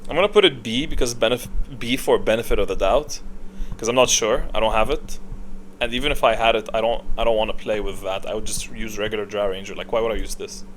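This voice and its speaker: A man doing casual, unscripted tier-list commentary, opinionated and halting as he thinks aloud. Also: on the low side, a bit bright, slight background noise, low-quality recording. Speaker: video creator, gaming commentator.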